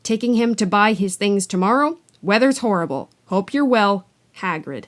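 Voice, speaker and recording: A huge, rough-mannered giant of a man who bursts out loudly when he is angry and drops low when he is anxious, as a woman puts him on while reading. He talks in a blunt, homely way. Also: expressive, moderate pace, natural voice. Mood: gruff. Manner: blustering, loud outbursts. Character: big rough-voiced half-giant man, gruff and warm.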